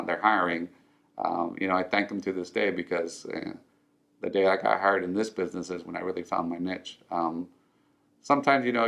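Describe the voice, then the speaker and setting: A middle-aged man from Mexico, whose first language is Spanish, tells his own story in English. His voice sits very low and carries a gravelly edge. He speaks earnestly and often pauses on ums and restarts his sentences. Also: fairly bright tone. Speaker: financial firm owner. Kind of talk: personal story told to camera.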